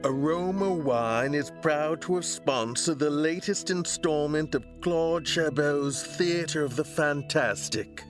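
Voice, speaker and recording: A man reading scripted credits as a formal announcer and sponsor spokesman. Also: fairly high pitch. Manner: formal, measured. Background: prominent music.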